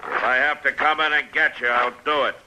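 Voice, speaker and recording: An actor playing a stern, fair frontier marshal with an American accent and terse, commanding delivery in an old-time radio western. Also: male voice, medium pitch, steady tone, brisk, dull audio, lightly acted. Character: stern, authoritative male frontier marshal, tough but fair. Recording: noisy vintage radio broadcast.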